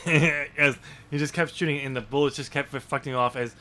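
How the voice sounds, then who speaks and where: A man's voice: medium pitch, fairly even intonation, normal speed, bright, forceful tone. A man co-hosting a podcast as an author, discussing a book casually in a rambling way. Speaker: podcast co-host and novel author.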